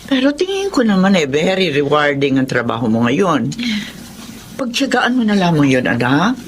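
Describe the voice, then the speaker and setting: A woman's voice: sits low, very animated, normal speed, a little hiss or hum. An actress with a Filipino accent playing a caring, encouraging middle-aged mother in a radio drama. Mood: concerned.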